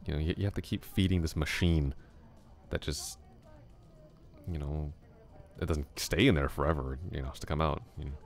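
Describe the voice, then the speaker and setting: A man playing a deep-voiced rambling young classmate. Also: steady tone, moderate pace, gravelly, pauses often, soft background music. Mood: musing. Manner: rambling, thoughtful, soft-spoken with chuckles. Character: chatty young male classmate, musing and friendly. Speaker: ASMR roleplay voice actor. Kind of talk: ASMR roleplay.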